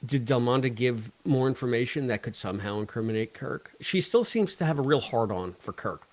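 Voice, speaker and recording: A man with a mid-pitched voice talks as a podcast host. He is opinionated and talkative, working through his views in a casual review discussion. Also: lively intonation, brisk.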